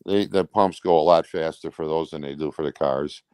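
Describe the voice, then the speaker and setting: A man's voice: a bass voice, moderate pace, a little mellow, smooth, dry recording, studio quality. A man talking casually as an interview guest, recounting personal events in a rambling, blunt, colloquial way. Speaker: truck driver.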